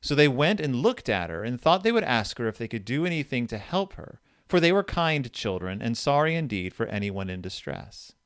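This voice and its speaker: Man, podcast host, very lively intonation, casual retelling, reads passages aloud, dry recording. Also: medium pitch, normal speed, few pauses, smooth, no echo. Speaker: podcast host discussing horror fiction. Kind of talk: casual chat, retelling and reading story passages.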